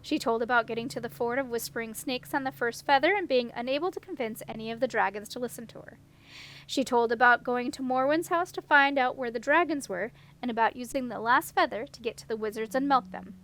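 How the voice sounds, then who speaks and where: A woman's voice: on the high side, a little fast, fairly bright tone, slight background noise. A woman reading a storybook aloud as scripted audiobook narration. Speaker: audiobook reader.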